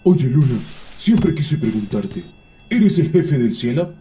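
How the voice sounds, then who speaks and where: A man voicing a sly one-eyed giant from the underworld, flattering and goading in a cartoon tale. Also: mid-range voice, slow, slight background noise. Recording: music behind the voice.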